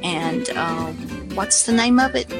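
A woman's voice: on the low side, lively intonation, slow, music in the background. A woman hosting a radio show, introducing the next piece casually and searching for words.

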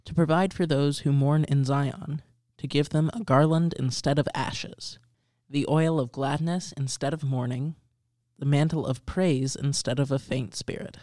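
A man's voice: fairly high pitch, darkish tone, no room sound, studio quality. A man reading scripture aloud as a prepared reading. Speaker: scripture reader.

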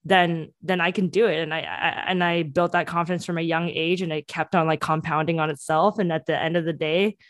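A woman's voice: low voice, steady tone, fairly quick, hardly any pauses, no room sound, clean studio sound. A young woman in her twenties whose first language is Cantonese, telling her story eagerly in a podcast interview with many restarts. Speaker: entrepreneur guest.

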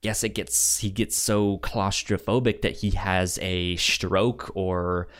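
A man casually discussing a movie as a podcast host, with halting speech and stuttered, repeated sounds and words. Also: low-pitched, steady tone, a bit bright.

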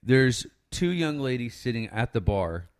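A man's voice: medium pitch, very animated, a little slow, clean tone. A man in his thirties telling a long story in casual chat, rambling and swearing freely as a podcast co-host. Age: 30s.

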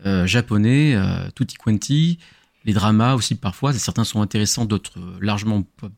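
A middle-aged man explaining his podcast casually, halting and often rephrasing as he goes. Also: fairly low pitch, vocal fry, a little mellow, dry recording, clean studio sound. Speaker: podcast host appearing as a guest. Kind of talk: casual talk explaining own show.

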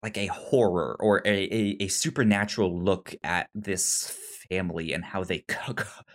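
A podcast co-host talking casually about film in a halting way, often repeating words and syllables. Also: low voice, fairly even intonation.

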